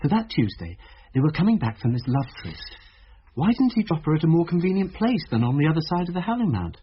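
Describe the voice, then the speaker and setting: Inquisitive confidant, lively pitch. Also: male voice, fast talker, husky, exaggerated voice. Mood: curious, reasoning. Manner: questioning, reasoning. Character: thoughtful, inquisitive male confidant of a detective. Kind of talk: radio drama acting. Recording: dull, faintly noisy radio drama recording.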